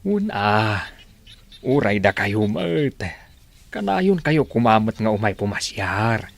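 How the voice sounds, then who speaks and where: An actor playing a fond, protective middle-aged father in a radio drama, speaking haltingly as he admonishes. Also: on the low side, sing-song, vocal fry, exaggerated voice. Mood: resigned, fond.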